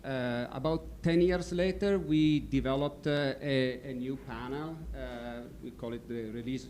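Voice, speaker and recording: A man from Italy with an Italian accent, giving a company presentation and pausing often on fillers as he searches for words. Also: medium pitch, darkish tone, slight background noise. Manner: halting, many fillers. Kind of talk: presentation talk. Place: stadium function room.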